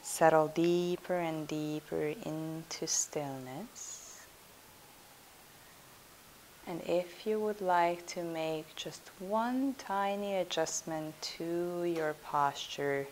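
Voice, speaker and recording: A woman leading a guided yoga nidra meditation as a teacher, in a soothing, hushed, unhurried manner. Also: low voice, poor audio. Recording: steady hiss.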